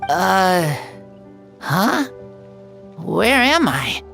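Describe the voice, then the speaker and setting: Frail, earnest kid for a game: slow, creaky edges, wondering and halting. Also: female voice, lowish voice, big pitch swings, fairly bright tone, faint music behind, full character voice. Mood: dazed. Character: frail, earnest child waking dazed and full of wonder. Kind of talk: video game voice acting.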